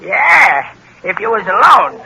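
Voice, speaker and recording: Very high, creaky whining crook. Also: male voice, sing-song, takes it slowly, sharp tone, full character voice, low-quality recording. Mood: jumpy, excited. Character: nervous, whining gangster underling named Jake. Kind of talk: 1930s-1940s radio acting. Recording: old radio recording with faint noise.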